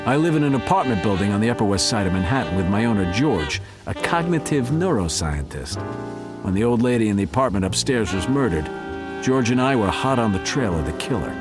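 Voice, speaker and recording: Low-voiced, smug cat detective. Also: male voice, big pitch swings, brisk, over music. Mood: self-assured. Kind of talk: hard-boiled detective narration in audio drama.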